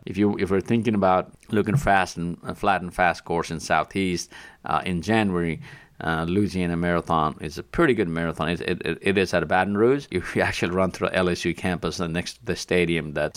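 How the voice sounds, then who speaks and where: Low gravelly man with a Nepali accent. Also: dry recording. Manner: rambling, frequent restarts and fillers, soft chuckles. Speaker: podcast host and marathon pacer. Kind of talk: casual solo podcast monologue.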